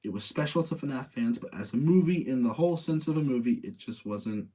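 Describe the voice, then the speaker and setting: A man's voice: medium pitch, lively intonation, fairly quick, mellow, breathy edge. Casual man rambling through opinions. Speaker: livestream host reviewing movies.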